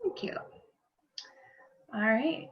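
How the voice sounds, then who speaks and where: A woman hosts a virtual meetup, handing the floor to speakers in a friendly, brisk way over a video call. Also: dull audio. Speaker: meetup group host.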